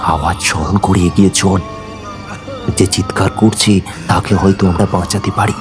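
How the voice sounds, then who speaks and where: A brash young city man, played by a man, answers in a low, brisk voice with a dismissive edge. It is a radio-play style read with faint music underneath. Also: steady tone, close to an everyday voice. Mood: urgent. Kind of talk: Bengali audio horror drama.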